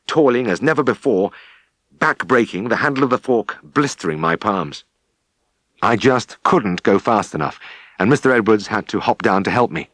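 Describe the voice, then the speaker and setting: A British man narrates a memoir in a low voice, recorded close and dry. His delivery is measured and expressive, like a practised audiobook reader. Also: dry recording.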